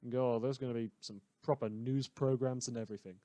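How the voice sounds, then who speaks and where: A man's voice: medium pitch, fairly even intonation, brisk, mellow, no echo, lightly acted. A man performs a wry late-night radio host from an audio drama, broadcasting from the countryside. He rambles through digressions with theatrical sarcasm. The sound is dry, with little room around the voice. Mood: weary, wry.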